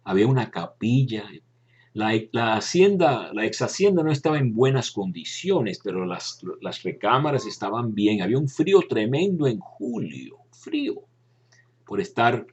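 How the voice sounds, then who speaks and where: An older man with a Cuban accent, slowly reminiscing about his years as a friar, pausing and restarting as he shows old photos. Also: fairly low pitch, slightly rough, highs cut off. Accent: Cuban Spanish. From Cuba. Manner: reflective, pausing often with fillers and restarts. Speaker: former Dominican friar recounting memories. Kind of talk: unhurried personal reminiscence, showing photos.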